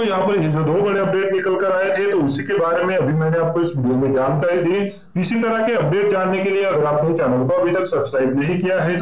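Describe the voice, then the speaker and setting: Male news voice-over host, slightly high, steady explanatory delivery, dull lo-fi echoey sound with crowd noise behind. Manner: repeats stock phrases, steady explanatory reading. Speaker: pension news channel host. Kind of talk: voice-over reading news updates.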